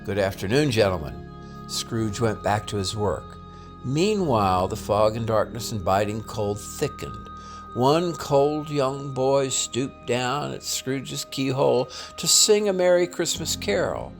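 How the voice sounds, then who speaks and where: A man reading a classic tale aloud as scripted narration, theatrical and deliberate in his delivery. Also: medium pitch, lively intonation, gravelly, over music. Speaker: storybook reader.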